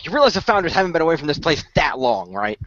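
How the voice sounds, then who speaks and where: A man's voice: fairly high pitch, big pitch swings, fast talker, fairly bright tone, no room sound, highs cut off. A man improvising in-character dialogue in a radio role play, explaining things in a matter-of-fact way.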